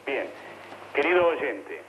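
A man's voice: medium pitch, sing-song, takes it slowly. Argentine radio man on a muffled, noisy link. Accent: Argentine Spanish. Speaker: radio announcer. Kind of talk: formal message read slowly for dictation.

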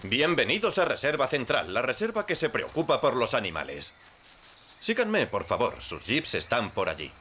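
Lively, earnest safari warden. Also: male voice, medium pitch, moderate pace, slight background noise. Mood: welcoming. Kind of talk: animated cartoon dub.

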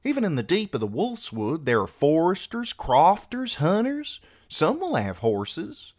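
Man as defiant teen girl, high swooping pitch, insistent questioning, storybook character. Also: huge pitch swings, a little slow, darkish tone, heavily acted. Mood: argumentative. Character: feisty young teenage girl, defiant and argumentative.

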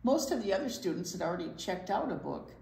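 An older woman reading a picture book aloud to children in an expressive way, with asides to young listeners. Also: medium pitch, fast talker, gravelly. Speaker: library storytime reader. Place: indoor library storytime set. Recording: roomy indoor sound.

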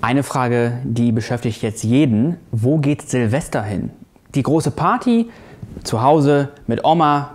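Young man, emphatic promotional presenter. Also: mid-range voice. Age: 20s. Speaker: newspaper presenter.

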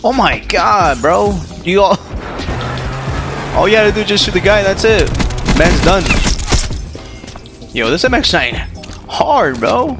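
A young man giving live gameplay commentary, casual and slangy, who bursts into excited shouts and says his voice runs deeper after waking. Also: measured pace, long pauses, over music. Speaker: gaming YouTuber.

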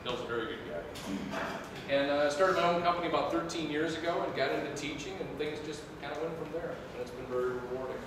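A man's voice: on the high side, quick pace. A middle-aged man giving a casual, joking talk to a meetup group in a meeting room. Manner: chatty, joking, loose. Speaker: presenter. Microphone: far room mic. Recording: echoey room, mic far off, hiss.

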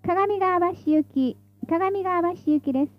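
A woman's voice: extremely high pitch, a little slow, no echo, muffled recording. A woman reading scripted tram announcements in a formal, polite, carefully enunciated way, as the stop announcer.

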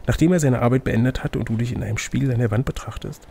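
A man's voice: mid-range voice, very fast, creaky, warm tone, slight background noise. Male game master, mid-range voice, reads fantasy adventure narration aloud and slips into playful banter. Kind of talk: reading a fantasy gamebook aloud with casual banter.

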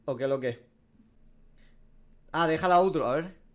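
A man's voice: fairly high pitch, unhurried. A man, giving casual, profanity-laced reaction commentary as a gaming streamer. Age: 20s. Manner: slangy, profane. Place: small room at home.

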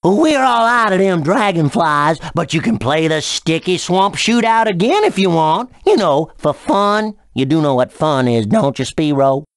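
A woman's voice: fairly low pitch, very animated, unhurried, hardly any pauses, no echo, clean studio sound. A woman playing a folksy, playful honey-country game host with a drawling Southern US accent, cheerfully teasing. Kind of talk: scripted video game character dialogue.

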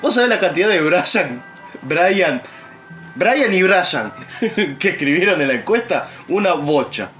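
A man's voice: sits high, sing-song, a little slow, a bit bright, faint music behind. A young man with an Argentine Spanish accent hosting a casual group chat, recorded indoors by a camera across the table. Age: young adult. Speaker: host. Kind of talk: casual group chat, discussing viewer survey. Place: small tatami inn room, indoors.

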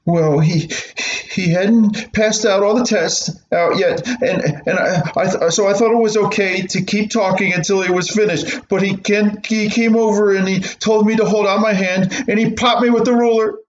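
A man's voice: high-pitched, fairly even intonation, moderate pace, echoey room, muffled recording, a little hiss or hum, voice pushed up, lightly acted. A man voicing a timid, stammering young schoolboy in a radio play. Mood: nervous. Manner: nervous, stammering. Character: timid young schoolboy answering his stern mother.

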